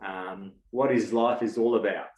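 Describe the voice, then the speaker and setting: A man's voice: on the low side, fairly even intonation, unhurried, a little mellow, echoey room, poor audio. A man from Lebanon, a guest speaker, talking reflectively with many fillers and restarts. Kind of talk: reflective casual talk.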